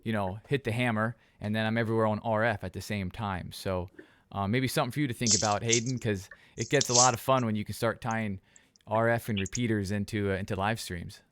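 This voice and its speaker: Rambling, low-voiced enthusiastic radio ham. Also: male voice, steady tone, fairly quick, a little hiss or hum. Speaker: ham radio operator who streams nets. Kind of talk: casual video call chat. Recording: video call.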